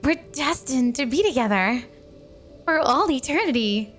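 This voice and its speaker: A woman's voice: sits high, animated, a little slow, faint music behind. A woman playing an obsessively devoted snow woman wife in a flirty ASMR roleplay, gushing and cooing with a sweet but possessive manner. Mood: adoring. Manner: gushing, cooing, doting, dreamy.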